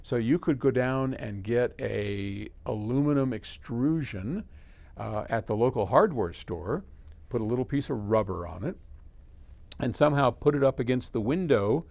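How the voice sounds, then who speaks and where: A man's voice: medium pitch, animated, normal speed, gravelly, darkish tone. A man hosting a casual explainer, answering a question in a folksy, conversational way as he thinks aloud. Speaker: host answering a listener's audio question. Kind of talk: casual explainer, reading a letter then advising.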